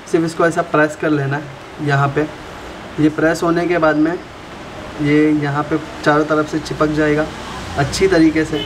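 Chatty young man, roomy noisy recording. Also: a little high. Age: young adult. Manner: chatty, explains step by step to viewers. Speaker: motovlogger. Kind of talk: casual how-to tutorial. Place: small room indoors at a desk. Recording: roomy with clear background noise.

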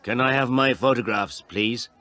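A man's voice: mid-range voice, moderate pace, bright, forceful tone, voice pushed up, lightly acted. A man voicing a stubborn, indignant farmer in his seventies, insistent and demanding, in a storybook reading. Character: stubborn, indignant seventy-two-year-old male village farmer.

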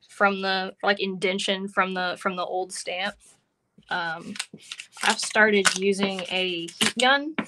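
Bright-voiced woman giving a craft demo. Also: medium pitch. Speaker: craft instructor. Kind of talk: hands-on live demonstration, casual. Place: work table indoors, live online stream.

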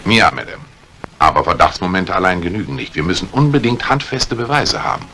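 An actor playing a brusque, authoritative police inspector in a 1950s German radio crime drama, questioning and giving orders deliberately. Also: male voice, low-pitched, lively intonation, sharp tone, exaggerated voice. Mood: grave, determined. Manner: probing, commanding, deliberate. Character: brusque, authoritative middle-aged male police detective inspector. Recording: noisy vintage 1950s radio drama recording.

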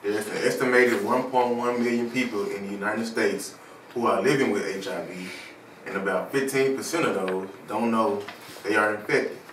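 A man's voice: on the low side, fairly even intonation, moderate pace, fairly bright tone. A young adult man, an actor giving a rehearsed appeal for a stage play. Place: indoor living room. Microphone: distant camera microphone. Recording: roomy, distant, noticeable background noise.

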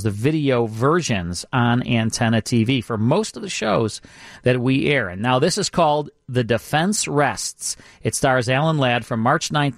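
A man's voice: medium pitch, no echo, clean studio sound. A man hosting a radio show, chatting casually and enthusiastically as he explains classic shows.

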